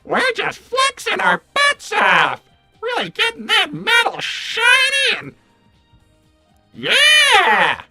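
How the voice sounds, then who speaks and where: A man voicing a boastful, dim, excitable male cartoon robot in a brash, showy livestream roleplay, with stuttering repeats. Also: very high voice, slow, gravelly, soft background music, full character voice. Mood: excited, showy. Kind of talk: improvised in-character livestream roleplay.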